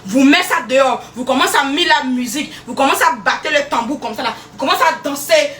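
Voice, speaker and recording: A woman rants in a rambling, emphatic live chat, circling back over the same points. Her voice is high and bright, and she sits away from the mic with faint noise behind her. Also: quick pace, distant mic.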